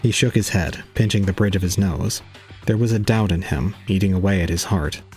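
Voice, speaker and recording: A man playing a detached, resigned young student, narrating solemnly and calmly in an audio drama. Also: sits low, brisk, soft background music. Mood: solemn, pitying. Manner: solemn, calm, unemotional. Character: detached, resigned young male student recounting his own ordeal. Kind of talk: scripted first-person audio drama narration.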